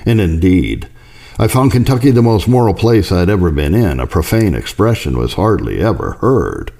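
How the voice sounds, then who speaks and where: A man with a very low, mellow voice reads a historical religious letter aloud. His delivery is formal and measured, with a solemn tone and lively rises and falls in pitch. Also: creaky. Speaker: audiobook reader.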